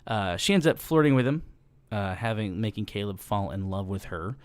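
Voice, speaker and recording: A man's voice: fairly low pitch, animated, moderate pace, no room sound. A man co-hosting a casual podcast, rambling through a movie plot with frequent ums and restarts. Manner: rambling, lots of ums and restarts, laughs easily. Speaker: podcast co-host.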